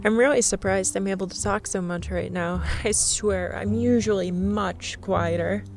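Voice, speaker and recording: A young woman in a scripted ASMR roleplay, playing a shy, nervous stranger who rambles and laughs nervously in a soft voice. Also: medium pitch, moderate pace, gravelly, faint music behind.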